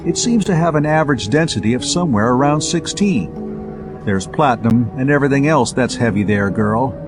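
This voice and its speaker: A man's voice: medium pitch, lively intonation, brisk, lightly acted. Man playing an eager, brainy spaceman inventor over music. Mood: explaining. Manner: technical explaining mixed with breezy slang. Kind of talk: dramatized pulp science fiction audiobook.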